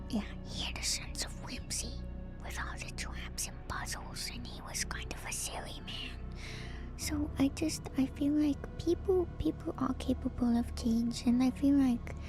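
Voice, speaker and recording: Slow, whispering ASMR woman. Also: fairly high pitch. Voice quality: soft, breathy whisper. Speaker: streamer hosting an ASMR lore stream. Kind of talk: whispered ASMR, casual commentary. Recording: music playing behind the voice. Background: music.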